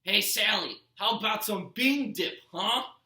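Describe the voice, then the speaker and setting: A man's voice: high-pitched, measured pace, bright, forceful tone, pitched above the performer's own voice, full character voice. A man voicing a boorish, demanding, suspicious stepfather in a storybook read-aloud. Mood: yelling, impatient. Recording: roomy echo.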